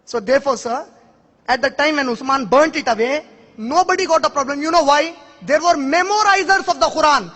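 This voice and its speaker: Emphatic high-voiced man in echoing hall. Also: brisk, a bit bright. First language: Urdu. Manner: emphatic, challenging, rhetorical questions. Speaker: speaker answering an audience question. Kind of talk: public question-and-answer lecture. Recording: long hall reverb.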